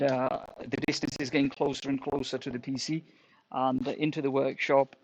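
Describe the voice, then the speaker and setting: A man runs through a gadget test in his workshop, wandering between rooms as he talks. His speech is halting and full of fillers like "basically". The sound is dry and close, and his voice is mid-pitched. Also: no room sound, highs cut off. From England. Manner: rambling and hesitant, many fillers and "basically". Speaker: repair shop owner reviewing a product. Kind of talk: casual product test vlog. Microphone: wireless earpiece, later a wired headset.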